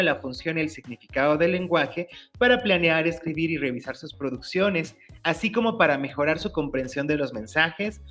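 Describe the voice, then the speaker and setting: A man, hosting his own channel and clearly explaining teacher-exam study material in an upbeat, explanatory way. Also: fairly high pitch, fairly bright tone. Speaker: YouTube channel host, teacher-exam tutor. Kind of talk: educational explainer reading curriculum text.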